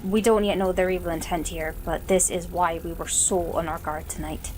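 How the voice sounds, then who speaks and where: A woman playing a courteous, watchful forest ranger leader in a fantasy audio story, speaking in a formal, measured, polite way. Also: lowish voice, steady tone, darkish tone, faint music behind, lightly acted. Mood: grave.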